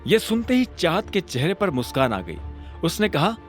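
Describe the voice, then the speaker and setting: A man's voice: sits high, sing-song, moderate pace, soft background music. A man narrating a scripted audio drama as a dramatic storyteller.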